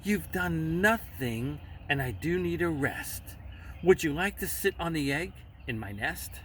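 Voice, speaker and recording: A man's voice: a little high, animated, measured pace, a little hiss or hum. A man voicing a lazy, selfish female storybook bird who coaxes in a sing-song manner. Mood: sweetly wheedling.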